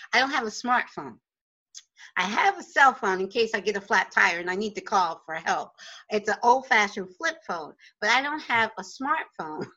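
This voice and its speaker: A woman's voice: medium pitch, bright, forceful tone, low-quality recording. A woman with a New Jersey accent hosting an interview from her home office, talking in a chatty, rambling way and laughing easily. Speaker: radio show host. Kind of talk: casual interview chat.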